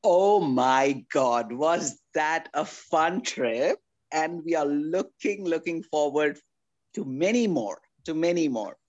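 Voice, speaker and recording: Podcast guest: affectionate woman in her sixties from India, talks at an unhurried pace. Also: low-pitched, lively intonation, a little mellow, lo-fi sound. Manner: affectionate, calls people darling. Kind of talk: casual family interview.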